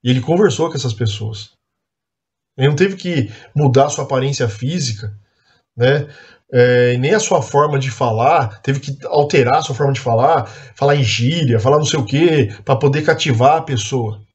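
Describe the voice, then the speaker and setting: A man's voice: medium pitch, normal speed. A man from Brazil teaching an adult Sunday school class in a conversational, admonishing way, dotting his talk with tag questions and fillers. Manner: earnest, admonishing. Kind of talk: church Bible class teaching.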